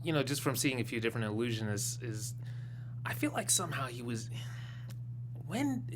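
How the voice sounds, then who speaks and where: A man's voice: mid-range voice, very animated, normal speed. A man co-hosting a TV recap show, steering the chat and speaking straight to viewers. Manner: steers the talk and addresses viewers directly. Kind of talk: casual panel chat. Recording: humming background noise.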